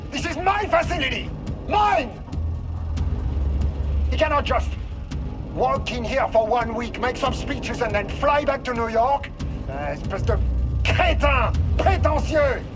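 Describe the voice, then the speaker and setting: Petty French lab director, game villainy: high male voice, wide swings, pompous, halting, music behind. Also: expressive. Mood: indignant, ranting. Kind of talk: dramatic video game acting.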